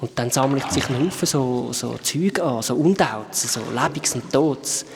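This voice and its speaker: A man's voice: mid-range voice, moderate pace, slight background noise, natural voice. A man with a Swiss German accent playing a friendly shape-shifting creature from another world who patiently explains things in a children's radio play. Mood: kind, explaining.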